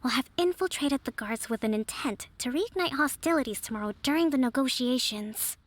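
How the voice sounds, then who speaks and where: A woman voicing a young, calm strategist who leads an island, measured and soft-spoken. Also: high-pitched, no room sound. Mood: composed. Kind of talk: video game voice acting.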